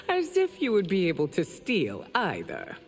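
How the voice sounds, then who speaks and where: A woman's voice: mid-range voice, huge pitch swings, unhurried, heavy background noise. A woman playing a proud, imperious, mocking warrior queen in a video game, speaking in a haughty, commanding, theatrical way. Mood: mocking, amused. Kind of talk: video game character dialogue.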